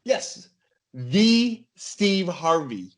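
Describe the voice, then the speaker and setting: A man's voice: high-pitched, very animated, drawn-out words. A man hosting a casual podcast game in a playful, mock-announcer way. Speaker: game show host on a podcast.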